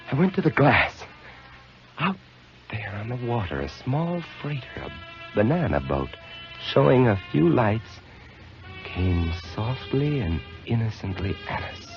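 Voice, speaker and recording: An actor playing a shaken male lighthouse keeper, telling his story in hushed, dramatic narration for a 1950s radio drama. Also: mid-range voice. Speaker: lead actor narrating in first person. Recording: lo-fi old radio broadcast with music.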